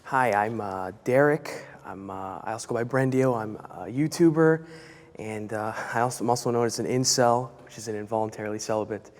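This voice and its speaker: A man who makes online videos, speaking haltingly with many pauses during a debate. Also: on the low side, big-room sound. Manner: hesitant, halting. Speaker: YouTuber, debate participant. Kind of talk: casual debate.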